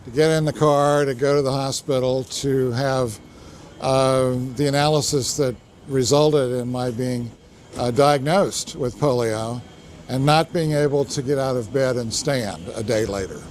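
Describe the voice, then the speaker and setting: A man speaking deliberately with fillers in an interview. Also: mid-range voice, a little mellow, a little hiss or hum. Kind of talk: interview recollection.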